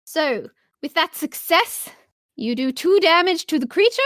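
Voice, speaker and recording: A woman's voice: extremely high pitch, expressive, a little slow, a bit bright, dry recording, studio quality. A woman acting as game master, narrating a tabletop role-playing game in a casual, improvised way and encouraging the players. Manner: encouraging, affirming players with short praise.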